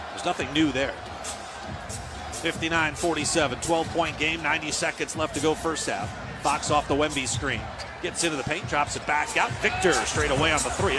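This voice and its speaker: An American man calling live basketball play-by-play on the radio in clipped, fragmentary phrases. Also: a little high. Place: live sports broadcast from the game.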